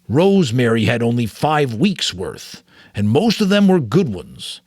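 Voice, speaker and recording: Man playing an old male mentor, storybook character, grave, thoughtful, deliberate, expressive pitch, dry sound. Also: dry recording. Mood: sorrowful. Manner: slow, deliberate, solemn. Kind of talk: audiobook character reading.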